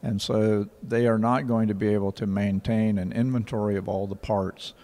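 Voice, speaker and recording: An older man, answering interview questions in a measured, factual way with frequent pauses. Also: on the low side, flat delivery, dark tone. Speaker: rural water association director. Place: indoor office in front of a painting. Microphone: handheld station mic.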